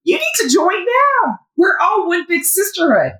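A woman in her fifties, a guest in an interview, talking loudly and with enthusiasm. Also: very high voice, sing-song, unhurried, echoey room. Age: 50s. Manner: loud, emphatic, enthusiastic. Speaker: guest, founder of a women's community. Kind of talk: conversational interview.

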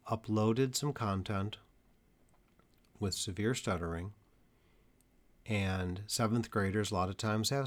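A man with a fairly low voice explains his points slowly and carefully to parents and teachers. He speaks as a therapist, leaning on deliberate pauses to stress key ideas. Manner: measured, deliberate delivery with emphatic pauses. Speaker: stuttering therapist. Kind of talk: educational talk to parents and teachers.

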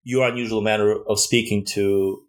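A man's voice: fairly low pitch, little pitch movement, moderate pace, slight background noise. A man from Russia with a slight Russian accent, speaking as a guest in a casual conversation, thoughtful and hesitant with many fillers and restarts.

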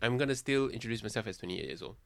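A man's voice: medium pitch, lively intonation, racing, vocal fry, a bit bright. A man, chatting casually as a podcast host, swearing and giggling a lot. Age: 20s. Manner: giggly, swears often, switches into Malay words. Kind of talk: casual chat.

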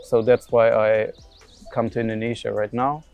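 A man's voice: mid-range voice, little pitch movement, normal speed, gravelly, lo-fi sound, soft background music. A young man from Germany answering interview questions about his football career, hesitant, with many fillers and restarts. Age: young adult.

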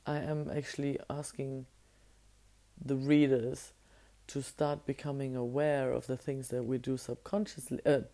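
Woman podcaster, deep hoarse voice, gravelly and dark, reflective and searching for words. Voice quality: naturally deep voice. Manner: reflective, musing aloud with searching restarts. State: hoarse, voice strained from singing. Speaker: podcast host. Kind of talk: casual solo podcast monologue.

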